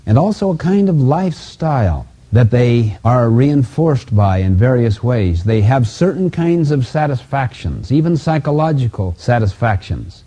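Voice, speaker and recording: Dark-voiced, husky man lecturing on self-help. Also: medium pitch. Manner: didactic, emphatic, deliberate phrasing. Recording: dull lo-fi audio.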